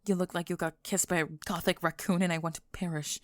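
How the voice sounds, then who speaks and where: A woman's voice: lowish voice, level tone, fast, no echo, studio quality, close to an everyday voice. A woman playing a flustered young goth girl with a secret crush, rambling and stammering breathlessly in an ASMR roleplay. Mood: mortified. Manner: rambling, stammering, breathless panicked over-explaining.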